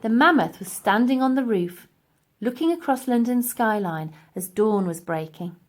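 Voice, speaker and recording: A woman's voice: fairly high pitch, animated, normal speed. A woman narrating a children's storybook expressively as its reader.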